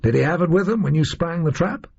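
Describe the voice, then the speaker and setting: A male reader voicing a disillusioned, boastful gunman in an audio story, with a high, reedy voice and folksy, slangy delivery. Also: lively intonation, quick pace, vocal fry, darkish tone, breathy edge, muffled recording, full character voice. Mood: brisk, boastful.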